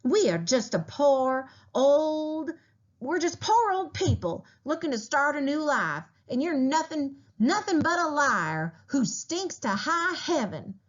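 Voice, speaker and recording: A woman's voice: high voice, big pitch swings, slow pace, muffled recording, voice pushed up, heavily acted. A woman voicing an indignant, complaining older pioneer wife in a storybook reading, scolding and aggrieved. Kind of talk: children's historical novel read aloud.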